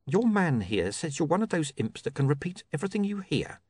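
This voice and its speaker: A man voicing a cold, menacing male criminal who talks in a clipped, deliberate, demanding way. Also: fairly high pitch, big pitch swings, a little mellow, breathy edge, pitched above the performer's own voice. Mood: suspicious.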